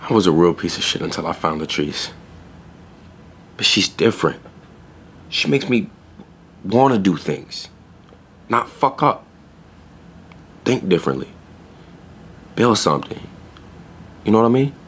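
Low-voiced, streetwise young store clerk. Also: male voice, sing-song, a little slow, long pauses, a bit bright, breathy edge, exaggerated voice. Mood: awkward, earnest. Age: young adult. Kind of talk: naturalistic audio drama acting.

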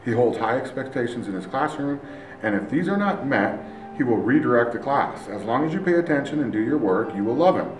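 A man's voice: medium pitch, animated, a little fast, background noise. A middle-aged male teacher reading reviews aloud and reacting casually, recorded in a small office from a few feet away. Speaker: Spanish teacher. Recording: roomy, distant mic, faint music.

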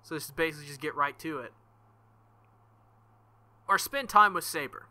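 A young man reading a visual novel aloud and commenting, animated and thinking out loud. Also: a little high, normal speed. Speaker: let's play host.

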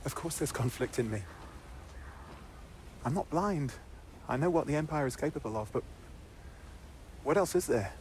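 An actor playing a young, conflicted Imperial soldier in a video game, questioning with intensity. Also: male voice, on the high side, lively intonation, moderate pace, long pauses, darkish tone, a little hiss or hum, close to an everyday voice. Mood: conflicted, urgent. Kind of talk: video game cutscene acting.

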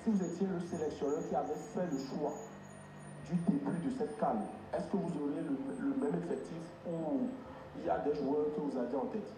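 A man's voice: a little high, normal speed. A man, a newspaper journalist, asking a coach a roundabout, hesitant question. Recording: echoey hall with noisy background.

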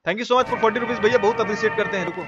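High-pitched Bihari-accented man streaming. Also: fast, muffled recording. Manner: slangy, cursing, exclamatory, repeats himself. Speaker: live streamer. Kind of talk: casual gameplay commentary with chat replies. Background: game music.

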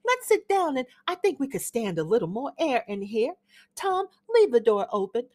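A woman reading as an older Southern mother, genteel and fussy, who chatters on in a Southern accent. Her voice climbs high and swoops wildly from note to note. She gushes without pause. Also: big pitch swings, a little mellow. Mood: gushing. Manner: gushing, chattering, flutters up and down. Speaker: reader of a classic play. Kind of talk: dramatic reading of a play.

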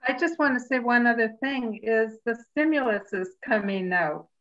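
A woman's voice: a little high, normal speed, fairly bright tone, muffled recording. A woman speaking tentatively on a video call. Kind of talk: casual discussion on a video call.

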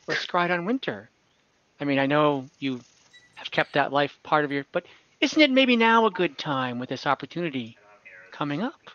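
A man at the game table acts out a scheming, ominous adventurer gathering warriors. The voice is a slightly high, bright male one, earnest and deliberate. It comes through dull online-call audio. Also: expressive. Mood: sly, coaxing. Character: weary scheming adventurer recruiting warriors for a divine war. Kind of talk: in-character tabletop roleplay. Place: room at home, on a group video call.

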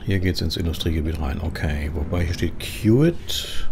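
A man from Germany giving casual, chatty commentary as he plays a trucker in a driving simulator, thinking aloud in a relaxed way. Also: low voice, normal speed. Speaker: gaming video host playing a trucker. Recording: clear engine and vehicle noise behind. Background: truck simulator game sound.

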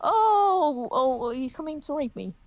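A naive, eager oddball that someone acts out on a prank call. The voice sits fairly high and swings widely in pitch. The delivery is silly, abrupt and mock-innocent. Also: animated, unhurried, dry recording. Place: at a computer on a group video call.

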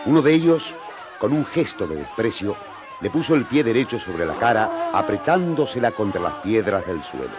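A man's voice: fairly low pitch, very animated, normal speed. An actor playing a male disciple who narrates a radio drama as an eyewitness. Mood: grave, dramatic. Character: male disciple narrating a violent street scene as witness. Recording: noisy, shouting crowd behind.

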